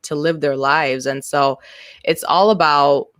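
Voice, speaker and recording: A woman's voice: deep voice, steady tone, measured pace, fairly bright tone, dry recording. Frank, candid woman guest in dry sound. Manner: frank and candid, swears freely, many fillers and restarts. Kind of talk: casual interview chat.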